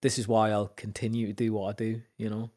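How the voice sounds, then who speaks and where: A young man with a Newcastle accent tells his story as a podcast guest, his voice sitting on the low side. He brings the story to life by acting out what other people said and lifts his pitch when he gets excited. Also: quick pace. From Zambia. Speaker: podcast guest, actor and musician. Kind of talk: casual storytelling chat.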